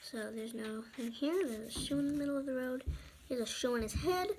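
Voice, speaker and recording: High-voiced young girl reading a storybook. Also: animated, a little fast, a little mellow, breathy edge, poor audio. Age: child. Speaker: storybook reader and channel presenter. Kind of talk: reading a picture book aloud with informal asides to young viewers.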